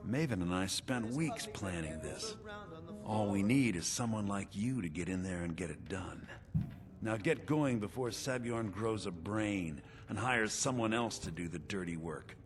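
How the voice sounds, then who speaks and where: Low-voiced scheming criminal fixer. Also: male voice, lively intonation, unhurried. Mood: businesslike. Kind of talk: video game character dialogue. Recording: game music behind the voice.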